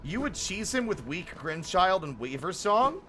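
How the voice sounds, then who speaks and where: A man doing casual, animated live game commentary, reacting freely and swearing as he talks to viewers. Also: high-pitched, a little slow, faint music behind. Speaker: game streamer.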